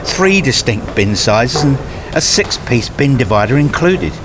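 A man playing an earnest, pedantic husband and father who narrates his day in a radio play, with a deliberate, fussy manner. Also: medium pitch, very animated, noisy background. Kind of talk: radio play dialogue and first-person narration.

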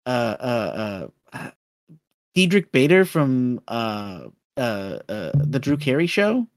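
A man co-hosting a casual film discussion, retelling scenes with animated acted-out quotes and often stumbling over repeated words. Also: medium pitch, slow, no echo, studio quality. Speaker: podcast co-host.

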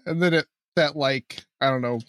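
Higher-voiced rambling man, dry sound. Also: a bit bright, dry recording. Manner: rambling, halting storytelling with many fillers. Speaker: podcast co-host. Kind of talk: casual podcast chat.

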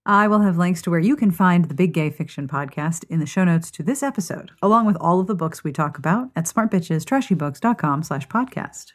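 Low-pitched woman hosting a podcast. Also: lively intonation, fairly quick, few pauses, faint music behind. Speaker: podcast host. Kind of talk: scripted podcast narration and ad reads.

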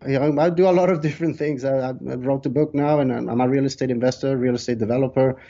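A man's voice: medium pitch, steady tone, fast, warm tone. A man in his thirties from Sweden with a Swedish accent, answering interview questions conversationally as a guest. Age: 30s. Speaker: interview guest, real estate developer. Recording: dull, lo-fi call audio.

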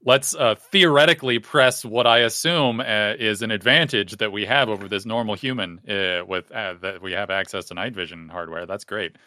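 A man playing a tabletop roleplaying game, talking casually and wryly as he thinks his plans out loud. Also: fairly low pitch, moderate pace, hardly any pauses, dry recording. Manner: wry, talky, thinks aloud.

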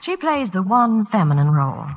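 A woman's voice: medium pitch, very animated, moderate pace, slight background noise. An American woman as a Hollywood reporter reading scripted 1940s radio promotion. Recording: muffled old radio broadcast in reverberant hall.

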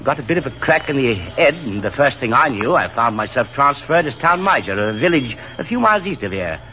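An actor playing a chatty young Australian army lieutenant in a 1930s radio drama, jovial and full of hesitant fillers. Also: male voice, medium pitch, big pitch swings, a little fast, pushed and bright, a little hiss or hum. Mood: cheerful. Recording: dull old radio recording with faint music.

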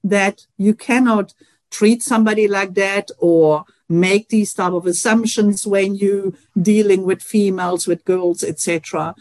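A woman's voice: mid-range voice, darkish tone, no echo. A middle-aged woman with a Dutch accent, an engineering professor answering interview questions earnestly and reflectively, with many "you know" fillers. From Netherlands.

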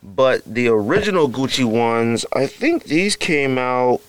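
A man's voice: medium pitch, sing-song, unhurried, no room sound. A middle-aged man hosting a podcast, casually rambling with lots of ums and you-knows, self-deprecating. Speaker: podcast host. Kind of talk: casual solo sneaker show-and-tell.

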